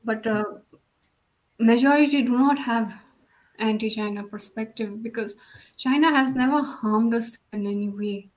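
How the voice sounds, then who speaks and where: A woman's voice: a little high, a little slow, rough recording. A woman from Nepal, a guest on a casual video call chat, speaking in short, plain statements.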